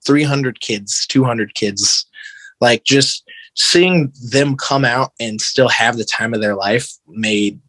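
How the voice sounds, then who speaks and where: A man's voice: medium pitch, slow pace, a bit bright, no room sound, poor audio. An American man talking casually as an interview guest, earnest and informal, with slangy phrasing and lots of "like". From American Midwest. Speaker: podcast guest, band guitarist.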